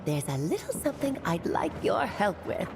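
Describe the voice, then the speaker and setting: A woman's voice: mid-range voice, very animated, moderate pace, creaky, music in the background. A woman voicing a playful young woman in a game who delivers her lines in a grand, theatrical way. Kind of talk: game cutscene voice acting.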